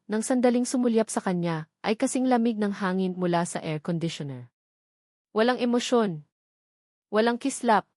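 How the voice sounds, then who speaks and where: A woman storyteller narrating a scripted romance drama in a solemn, deliberate way with short, weighted phrases. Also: medium pitch, darkish tone, dry recording, studio quality.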